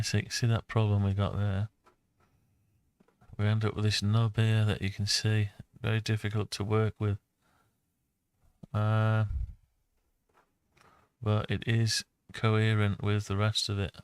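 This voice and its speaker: A man's voice: on the low side, completely flat delivery, slow, pauses often, clear, resonant tone, no echo. A man giving a casual think-aloud tutorial, muttering to himself as he talks through each step. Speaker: host of a CAD design tutorial.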